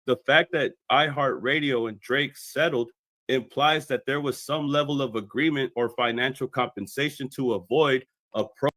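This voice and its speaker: A man's voice: medium pitch, normal speed, sharp tone, dry recording. A man delivering scripted explanatory commentary in a methodical, expository way as a video commentator.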